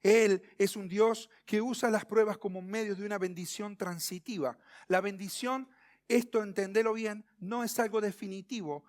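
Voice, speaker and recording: Male Argentine preacher, high voice, teaching through a sermon with rhetorical questions and pointed pauses. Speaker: pastor preaching. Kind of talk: expository sermon.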